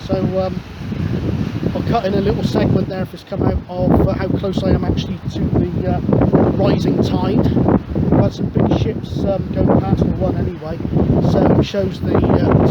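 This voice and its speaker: A man chatting casually as a CB radio operator, matey and rambling, outdoors on a windy seashore. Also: high voice. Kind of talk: casual radio chat and narration to camera. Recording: dull outdoor recording, clear wind noise. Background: wind and outdoor ambience.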